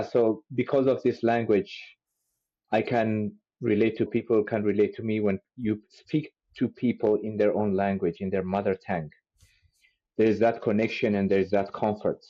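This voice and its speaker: A man who speaks Amharic as his first language, answering interview questions in a measured, earnest way. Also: on the low side. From Ethiopian-Persian family, raised in the Middle East. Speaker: real estate agent, podcast guest. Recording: dull lo-fi audio.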